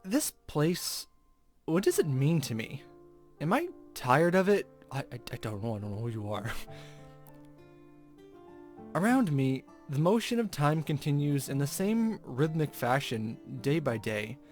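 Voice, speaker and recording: A man's voice: fairly high pitch, sing-song, a little slow, darkish tone. A man hosting a let's play, chatting casually with rambling asides and reading game text aloud. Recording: music playing behind the voice. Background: video game background music.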